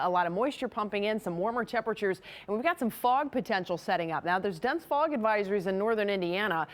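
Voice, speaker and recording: An American woman giving a conversational TV weather forecast in a chatty, folksy manner. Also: medium pitch.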